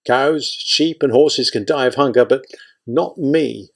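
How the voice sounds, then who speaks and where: A man's voice: medium pitch, takes it slowly, creaky. A man voicing a tall, thin old man, a former artillery soldier, talking in a blunt, boastful way.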